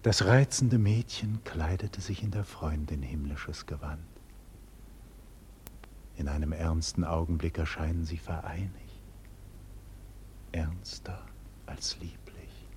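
An actor playing a proud, defiant condemned nobleman in a 1940s radio drama, declaiming solemnly and theatrically with a husky voice. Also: sits low, darkish tone, slight background noise. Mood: hushed, dreamy awe. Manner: solemn, slow, theatrical declamation with wide dynamic swings. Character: condemned heroic nobleman facing execution, proud, visionary, defiant. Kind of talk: 1940s German radio drama acting, classical stage declamation. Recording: 1940s radio recording with long hall reverb.

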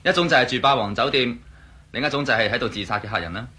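An actor playing a pushy, ingratiating hotel waiter in a radio drama, glib and familiar, with a Hong Kong Cantonese accent. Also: male voice, medium pitch, fast, sharp tone, lightly acted. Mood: apologetic, chatty.

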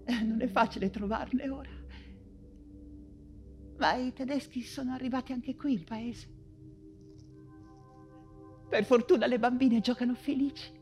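An actress playing an anguished woman writer and mother fleeing persecution in a wartime stage monologue, theatrical and halting, with anxious repetitions. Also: fairly high pitch, steady tone, darkish tone, soft background music. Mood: fearful, sorrowful.

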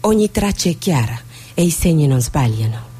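A woman's voice: low-pitched, very animated, moderate pace, dark tone, husky, full character voice. An actress playing a grave, proud, fatalistic Somali woman seer in a stage drama. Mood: grave, hopeless. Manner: solemn, fatalistic.

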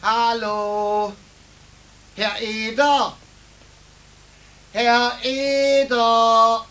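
A puzzled Bavarian customer in a 1970s children's radio play. His voice sits high for a man. He stammers fussily and is easily indignant. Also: level tone, drawn-out words, pushed and bright, full character voice. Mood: hesitant. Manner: hesitant stammering, scolding.

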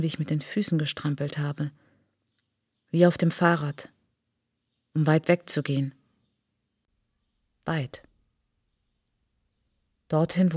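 A woman playing an introspective, wounded traveller who recalls an outcast childhood in a measured, poetic radio drama monologue, with a husky voice. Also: sits low, warm tone, dry recording, clean studio sound. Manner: measured, deliberate, short phrases. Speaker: narrator and lead of an autobiographical radio play.

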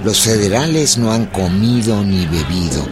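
A man's voice: lowish voice, animated, unhurried. A man delivering scripted, dramatic narration for a historical radio drama. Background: music under the voice.

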